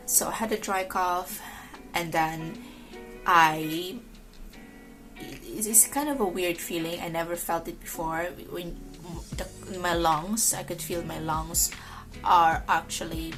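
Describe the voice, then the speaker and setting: A young woman, an ICU nurse, talking slowly and hesitantly from her couch at home, her voice rough from a dry cough. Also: on the low side, rough recording. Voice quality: rough, hoarse-edged voice. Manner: slow, hesitant, many ums and restarts. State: recovering from illness, dry cough. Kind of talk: casual vlog monologue.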